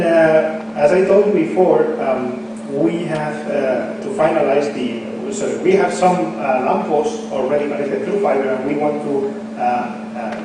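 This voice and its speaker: A man whose first language is Spanish, giving a technical conference talk in a halting way, full of fillers and restarts. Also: on the high side, far from the mic, noisy background. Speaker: conference speaker. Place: conference hall. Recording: echoey hall, mic from afar.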